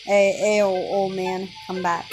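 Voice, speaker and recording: A woman's voice: medium pitch, little pitch movement, unhurried, low-quality recording. A woman giving casual commentary while she plays a game. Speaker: gaming video creator. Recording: loud game music behind voice. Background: game music and sound.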